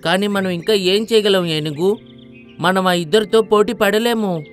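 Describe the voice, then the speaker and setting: A man's voice: high-pitched, a little slow, clear, resonant tone, faint music behind. A man voicing a clever, resigned monkey in a matter-of-fact way for a children's cartoon story.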